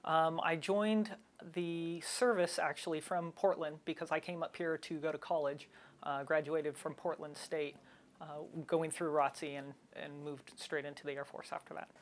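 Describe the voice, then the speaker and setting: A woman in her forties with a low voice talks calmly in an interview, using "um" and "uh" along the way. She grew up in the Bay Area and is a retired Air Force officer. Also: moderate pace, slight background noise. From San Francisco Bay Area. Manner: calm, with "um" and "uh" fillers. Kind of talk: recorded interview testimony.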